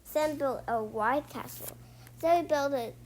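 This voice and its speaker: A child reading a picture book aloud as a storytime host, halting and repeating words, on a homemade recording. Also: high-pitched. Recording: clearly noisy home recording.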